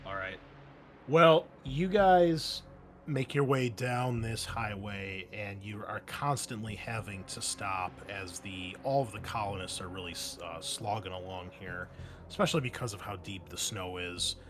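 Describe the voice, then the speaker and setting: A man's voice: on the low side, a little slow, noisy background. A man running a tabletop roleplaying game as game master, mixing improvised narration with conversational explanations to his players. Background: music under the voice.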